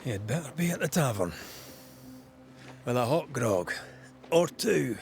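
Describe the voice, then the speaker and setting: An actor playing a blunt, grumbling ghost hunter with a Scottish accent and an earthy, wry manner. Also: male voice, mid-range voice, expressive, measured pace, vocal fry, soft background music.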